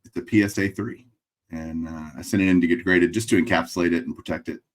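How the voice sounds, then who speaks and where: Deep-voiced Texan man on a video call. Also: fast talker. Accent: Texas. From Texas. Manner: relaxed, dry humor, thinks out loud. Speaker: guest collector. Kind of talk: casual interview over video call. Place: room full of framed memorabilia. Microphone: webcam video call.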